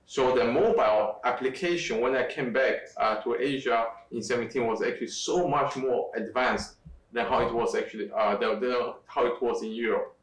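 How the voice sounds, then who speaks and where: Hombre en sala con eco, algo lejos del micrófono. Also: male voice, mid-range voice. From Taiwan. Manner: halting, many restarts and fillers. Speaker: interview guest. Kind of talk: conversational interview. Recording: echoey room, distant from mic.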